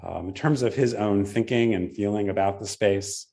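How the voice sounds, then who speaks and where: A man with a very low, mellow voice reads out a prepared paper on art and architecture. In the answers that follow he speaks more loosely, with fillers and self-corrections. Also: vocal fry. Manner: measured, with frequent fillers and restarts in answers. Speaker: symposium presenter. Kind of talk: academic paper presentation and Q&A.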